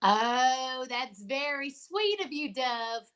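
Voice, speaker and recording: A woman's voice: high-pitched, lively intonation, slow, fairly bright tone, exaggerated voice. A woman playing a droll, theatrical photographer with an arch drawl in old-time radio comedy.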